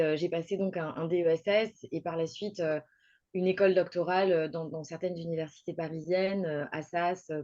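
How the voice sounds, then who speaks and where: A woman in her late thirties from Toulouse recounts her path in a personal monologue. Her voice sits fairly low, and she hesitates often and restarts her sentences. The recording is dull and lo-fi. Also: darkish tone. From southern France, Toulouse. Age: 30s. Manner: hesitant, many fillers and restarts. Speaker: podcast guest speaker.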